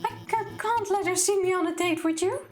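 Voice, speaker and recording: A woman's voice: extremely high pitch, brisk, music in the background, voice pushed up. A woman voicing a nervous, bashful, lovestruck female scientist in a video game, stammering and hesitant. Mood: nervous, eager.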